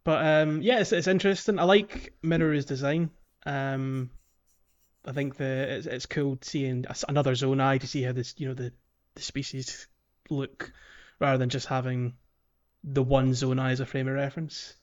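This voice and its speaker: A man's voice: mid-range voice, flat delivery, normal speed, muffled recording. A man co-hosting a casual video game podcast, chatting and explaining in a hesitant, circling way. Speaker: podcast host.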